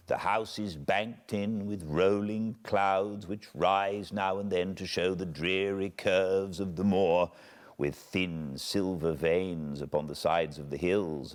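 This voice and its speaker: A British man reading a classic novel aloud as an audiobook narrator, in a measured, expressive storytelling manner. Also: low-pitched. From Britain. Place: cozy spot by a fireplace at home.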